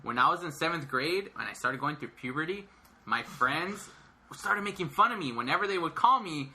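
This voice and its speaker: Casual podcast co-host, thirties, male, high voice, bright tone, swinging pitch, lots of laughs. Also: lively intonation, moderate pace, a little hiss or hum. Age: 30s. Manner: rambling, laughs often, filler-heavy. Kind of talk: casual chat.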